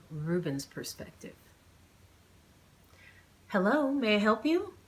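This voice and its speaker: A woman's voice: fairly low pitch, slight background noise, rough recording. A woman speaking as an author and channel host, with an informal, storytelling way of talking. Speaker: author and channel host reading own novel. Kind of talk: chatty vlog intro, then audiobook narration.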